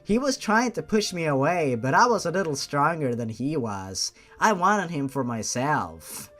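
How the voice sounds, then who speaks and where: A man's voice: a little high, very animated, unhurried, fairly bright tone, heavily acted. A man voicing a remorseful young woman in love, speaking earnestly and sorrowfully in a story reading.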